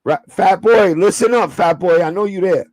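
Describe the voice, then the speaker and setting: A man with a raspy voice, hosting a casual livestream and breaking things down step by step in a streetwise, profane way. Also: high-pitched, moderate pace, darkish tone, no echo. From New York. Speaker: livestream host.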